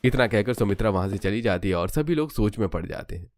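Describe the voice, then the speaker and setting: A man narrating a scripted cartoon family story in a measured storytelling manner. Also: fairly low pitch, animated.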